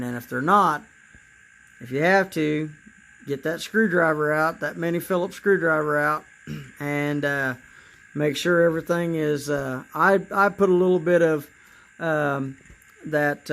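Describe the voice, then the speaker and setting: A man with a raspy voice demonstrating his model trains in a casual, halting way, with many fillers and repeated words. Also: on the high side, fairly bright tone. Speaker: model railroad hobbyist.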